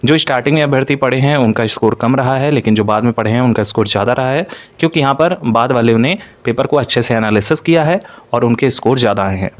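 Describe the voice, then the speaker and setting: Man, medium pitch, explanatory, repetitive stock phrasing, exam update presenter. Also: quick pace. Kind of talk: informational update addressed to exam candidates.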